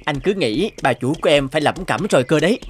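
A man's voice: a little high, animated, quick pace, husky. A man voicing a greedy, pushy young schemer of a boyfriend in a cartoon. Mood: scornful. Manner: scheming, insistent. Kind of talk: cartoon voice acting. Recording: faint music behind the voice.

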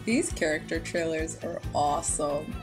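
A woman's voice: sits low, a little slow. A young woman giving casual, gushing, giggly reaction commentary from a small room. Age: young adult. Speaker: reaction video host. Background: music and game trailer audio.

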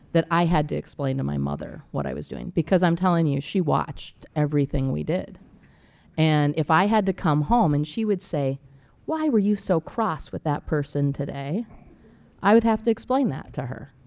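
A woman's voice: sits low, gravelly, darkish tone. A middle-aged woman on a panel, speaking candidly and conversationally in a self-deprecating, anecdotal way. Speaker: panelist, former elected official. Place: council chamber with small audience. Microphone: gooseneck desk microphone.

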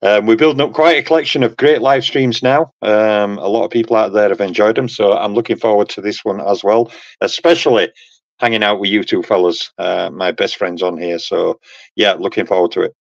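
A man's voice: lowish voice, fairly even intonation, a little fast, creaky, dry recording, muffled recording. A man on a panel over a video call, rambling and saying "okay" and "um" a lot. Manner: rambling, peppers talk with "okay" and "um". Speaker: panel guest. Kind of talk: casual panel chat. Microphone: computer mic on a video call.

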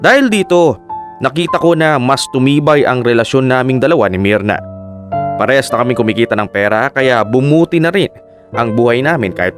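A man's voice: mid-range voice, fairly bright tone, close to an everyday voice. Actor as a reflective Filipino fisherman narrator. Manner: earnest, sentimental storytelling. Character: reflective fisherman husband telling his life story. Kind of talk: scripted first-person radio drama narration. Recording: piano music bed behind the voice.

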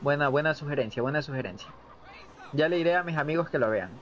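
A man's voice: on the high side, expressive, quick pace, a bit bright. Young Latin American man, dull lo-fi stream. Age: early twenties. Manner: slangy, joking. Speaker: game streamer. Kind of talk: casual playthrough commentary, reading subtitles aloud. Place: indoor room at home. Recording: dull lo-fi stream audio with game noise. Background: video game sound.

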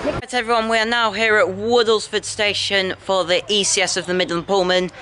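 A man's voice: sits high, level tone, fairly quick. A young man from England narrating a casual train-spotting vlog, upbeat and chatty, speaking into a handheld camera on railway platforms. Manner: upbeat, chatty, signs off with "enjoy". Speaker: train vlogger. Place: outdoor railway station platforms. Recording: loud ambient station and train noise.

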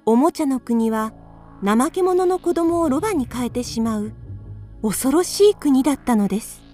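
Woman narrating a storybook calmly. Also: sits high, moderate pace, soft background music. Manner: calm, even storytelling.

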